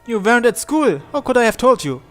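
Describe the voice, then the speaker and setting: A man reading a visual novel aloud as dramatic first-person narration, in a theatrical, deliberate way with short phrases. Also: very high-pitched, big pitch swings, a bit bright, faint music behind.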